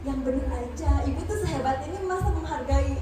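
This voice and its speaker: A woman from West Java introducing herself with lively enthusiasm and frequent laughter. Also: high-pitched, fairly quick, music in the background. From Ciamis, West Java. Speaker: participant. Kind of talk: casual self-introduction. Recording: echoey, from far off.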